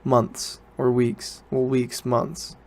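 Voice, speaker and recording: A man's voice: medium pitch, monotone, drawn-out words, darkish tone. A young man, student age, talking casually and informally as a solo podcast host, rambling and correcting himself as he goes. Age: teens to young adult student.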